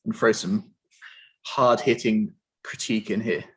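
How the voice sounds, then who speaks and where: A man hosting an online interview, explaining a tournament in a relaxed, filler-heavy way. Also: lowish voice, a little slow, slightly rough. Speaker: host.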